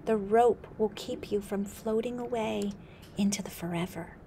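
A woman voicing a small male frog who sings a warning prophecy, in high wailing cries. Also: normal speed, warm tone, slight background noise, lightly acted. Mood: solemn.

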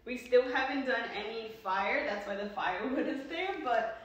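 A woman's voice: fairly high pitch, normal speed, distant mic. A woman from New York giving a casual walking tour of her new house, chatting with lots of ums and likes. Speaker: vlogger giving a home tour. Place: indoors, sparsely furnished new house. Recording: echoey bare rooms, voice off-mic.

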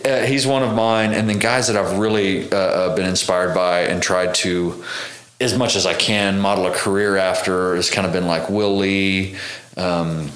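A man's voice: sits low, steady tone, normal speed, a bit bright, echoey room. A man in his thirties with an American accent, chatting casually and telling stories as a podcast guest, enthusiastic and self-deprecating. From Oklahoma, United States. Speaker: touring bass player, podcast guest. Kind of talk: casual conversational storytelling. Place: small indoor room. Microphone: podcast microphone close in front.

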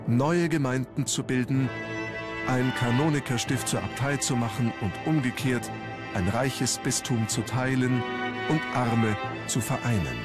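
A man narrates a historical documentary in a medium-pitched voice. His delivery is measured and formal, like scripted storytelling, with music clearly playing behind him. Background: background music.

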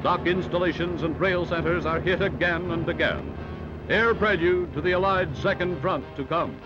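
An American man narrates a wartime-era newsreel from the 1940s, pitched a little high and stressing his words dramatically. The sound is a dull old film soundtrack, with loud music running behind him. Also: moderate pace. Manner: dramatic, emphatic delivery.

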